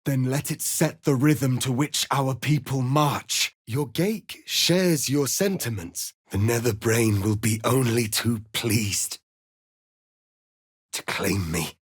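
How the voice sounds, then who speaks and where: A stern, defiant warrior prince of an alien people for a fantasy video game. He speaks slowly and formally, with solemn authority in a mid-pitched male voice. The sound is dry, free of room echo. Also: slightly rough. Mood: urgent, grave. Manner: formal, measured, commanding, grave delivery. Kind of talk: dramatic fantasy game dialogue.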